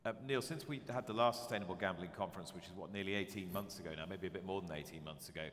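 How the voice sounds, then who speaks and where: A man's voice: low-pitched, very fast, fairly bright tone. A man moderating a conference panel, asking probing questions and piling up phrasings. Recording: conference hall reverb.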